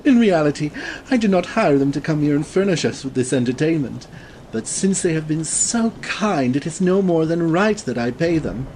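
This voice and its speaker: A daring, mocking young nobleman with a blade, as a woman reader puts him on, his lines swinging widely up and down in pitch. He taunts and commands with easy confidence. A faint hiss runs beneath the reading. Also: expressive, moderate pace, mellow, rough recording. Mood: wry. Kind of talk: audiobook character voice.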